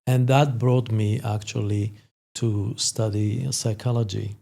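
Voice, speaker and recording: A man in his fifties with a Slovak accent, a psychologist explaining things as an interview guest in a halting, hesitant way. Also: on the low side, fairly even intonation, vocal fry, warm tone. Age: 50s. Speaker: sports psychologist, podcast guest.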